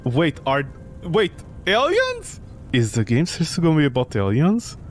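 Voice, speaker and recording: A man's voice: a little high, wildly swooping pitch, moderate pace, slight background noise. A man giving joking let's play commentary as a gaming streamer, sarcastic and incredulous.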